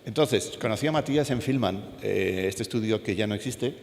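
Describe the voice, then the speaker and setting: Low-voiced Castilian man speaking in an echoey hall. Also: brisk, vocal fry. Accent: Castilian Spanish. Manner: conversational, puts questions to the audience. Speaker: animator, panel speaker. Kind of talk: informal talk to an audience, anecdotes. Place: school event hall with an audience. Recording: echoey hall, some background noise.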